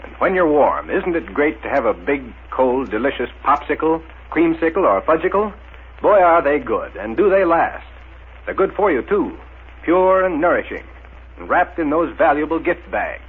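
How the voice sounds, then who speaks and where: A man's voice: fairly high pitch, big pitch swings, moderate pace, husky. A man announcing in emphatic, showmanlike 1930s radio style, reading scripted narration and sponsor copy. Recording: thin, phone-like old radio recording.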